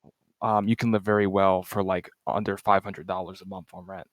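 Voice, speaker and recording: A young man from the US chatting casually over voice chat, soft-spoken and hesitant, with lots of fillers. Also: deep voice, little pitch movement, normal speed, no room sound. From United States. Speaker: group chat member.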